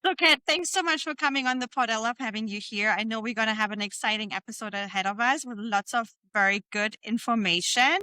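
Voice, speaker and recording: A woman's voice: fairly high pitch, a little fast, few pauses, bright, forceful tone, smooth, no room sound. A woman with a German accent hosting a casual podcast, gushing and slangy, with some swearing. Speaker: podcast host.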